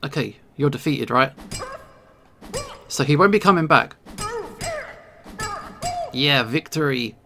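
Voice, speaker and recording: A man giving casual let's play commentary, thinking aloud in short, halting remarks. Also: mid-range voice, animated, vocal fry, pushed and bright, faint music behind. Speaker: video game player and host.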